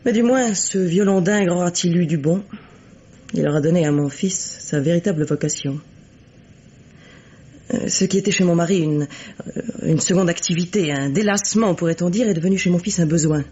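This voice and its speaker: Husky, composed middle-aged widow. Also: female voice, fairly low pitch, normal speed, dark tone. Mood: measured, disdainful. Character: composed, coolly bitter middle-aged widow of a wealthy financier. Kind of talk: French radio mystery play acting. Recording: dull, lo-fi vintage radio recording.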